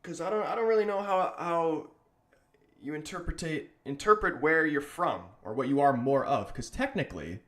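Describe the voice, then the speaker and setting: A man's voice: fairly high pitch, expressive, normal speed, fairly bright tone. A young man from Canada hosting a football watch-along stream, chatting with viewers and reacting to the match with frustrated, rambling bursts. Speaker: livestream watch-along host. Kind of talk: casual live-stream chat and match reaction.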